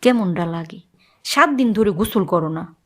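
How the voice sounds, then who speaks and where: A woman's voice: fairly low pitch, lively intonation, moderate pace, very noisy, lightly acted. A woman with a Bangladeshi accent playing an exasperated, nagging mother and wife in a comic cartoon. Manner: nagging, threatening, sharp-tongued.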